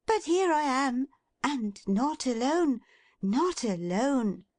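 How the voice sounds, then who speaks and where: A woman voicing a cheerful young woman who teases her father in a bright, eager, playful way. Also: high-pitched, big pitch swings, slow, no echo, voice pushed up, heavily acted. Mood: gleeful.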